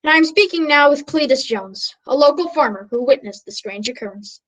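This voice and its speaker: Dull-sounding, high-pitched grandiose radio reporter played by a woman. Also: expressive, brisk. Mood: grave. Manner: declamatory, dramatic. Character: earnest, grandiose radio news reporter at disaster scene. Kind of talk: mock old radio news report.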